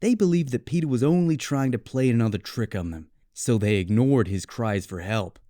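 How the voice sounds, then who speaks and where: A man with an American accent reading a scripted storybook tale for English learners in clearly phrased, measured storytelling. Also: mid-range voice, dark tone, dry recording, studio quality. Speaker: story narrator.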